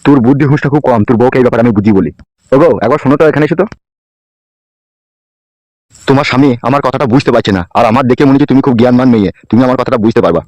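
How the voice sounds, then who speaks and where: গ্রামবাংলার টানে একজন পুরুষ কার্টুনে এক বদরাগী, মুখখারাপ, দাদাগিরি-করা নেতার গলা দিচ্ছে।. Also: male voice, mid-range voice, rapid-fire, pauses often, darkish tone, no echo, lo-fi sound, faint music behind, lightly acted. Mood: coaxing. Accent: rural West Bengali. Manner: threatening, domineering. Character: bullying, hot-tempered politician boss, manipulative and crude. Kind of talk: amateur comedy cartoon dub.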